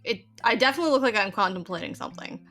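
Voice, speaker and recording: A woman's voice: mid-range voice, lively intonation, rapid-fire, sharp tone, faint music behind. A woman playing a tabletop game, describing her character's actions and speaking in character. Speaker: player. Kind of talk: tabletop roleplay, mixing narration and in-character lines.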